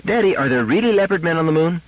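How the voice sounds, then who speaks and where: A man's voice: on the high side, animated, quick pace, no room sound, voice pushed up, close to an everyday voice. A man voicing an eager, trusting little girl full of exclamations and pleading, in an audiobook story. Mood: excited, eager. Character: excited, trusting little girl, bubbly then worried. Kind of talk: audiobook character acting. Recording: dull lo-fi radio-like audio.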